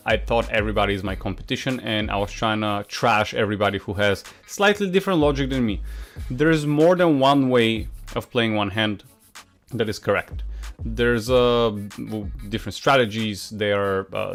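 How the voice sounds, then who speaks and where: A Bulgarian man, a poker coach, answering viewer questions in a frank, casual way with many filler sounds; his first language is Bulgarian. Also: medium pitch. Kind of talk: casual Q&A monologue.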